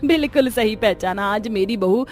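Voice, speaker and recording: A woman's voice: medium pitch, normal speed, close to an everyday voice. A woman voicing a proud, caring middle-aged village mother-in-law in a cartoon family drama, homely in manner. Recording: music behind the voice. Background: music.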